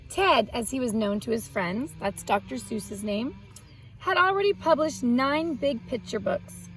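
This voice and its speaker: Female children's storytime host, high voice, expressive delivery with simple explanatory asides. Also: poor audio. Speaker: children's read-aloud host and art teacher. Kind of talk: reading a picture book aloud to children, with asides.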